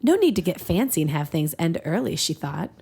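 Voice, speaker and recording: Giggly woman reading aloud, creaky voice. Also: on the low side, sing-song, a little fast, dark tone. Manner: giggly, breaks off to joke. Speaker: podcast host. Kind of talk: comedic read-aloud of fan fiction with banter.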